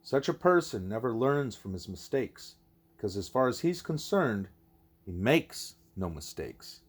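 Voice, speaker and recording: A man voicing a wise, patient male teacher in an audiobook, speaking in a deliberate, formal, explanatory way. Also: mid-range voice, sing-song, husky. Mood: patient, instructive. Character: wise, patient teacher creature Ishmael, male, measured and didactic.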